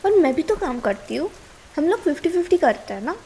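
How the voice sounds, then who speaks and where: High-voiced Indian girlfriend, scolding but caring, acted by a woman. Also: very animated, brisk, dark tone. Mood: worried, exasperated. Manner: pleading and chiding, switching between Hindi and English. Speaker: ASMR roleplay creator. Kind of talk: intimate ASMR girlfriend roleplay.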